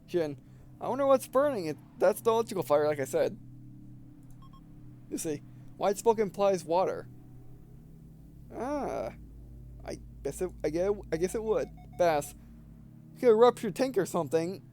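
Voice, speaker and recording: A man reading game text aloud and commenting casually as he plays, halting and stumbling over words, with some background noise. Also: high-pitched, sing-song, a little mellow. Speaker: gameplay video host.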